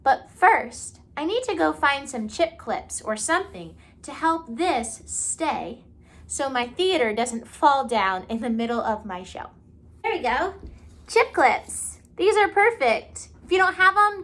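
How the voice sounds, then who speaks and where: Woman w a highish voice doing a kids how-to, really slow and simple, teacher style, keeps checking in. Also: expressive, fairly bright tone. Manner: simple child-directed talk with frequent check-in questions. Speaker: teacher demonstrating a craft for children. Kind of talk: step-by-step how-to demonstration for children. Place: indoors at home.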